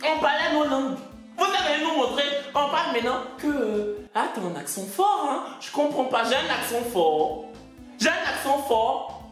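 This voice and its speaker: A man's voice: very high voice, lively intonation, moderate pace, fairly bright tone. A man from West Africa, delivering a stand-up comedy monologue in an indignant, mocking way and drawing out his punchlines. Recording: very echoey room, faint music.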